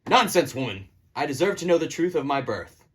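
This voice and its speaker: A man playing an impatient, commanding king in an amateur puppet show, barking orders with a rough voice. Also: a little high, sing-song, fairly quick, a bit bright, heavily acted. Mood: impatient, insistent. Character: commanding, impatient male king, demanding the truth.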